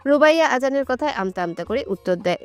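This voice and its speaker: A woman's voice: high-pitched, expressive, fast talker, no room sound, soft background music. A woman giving a scripted narration of a romantic story.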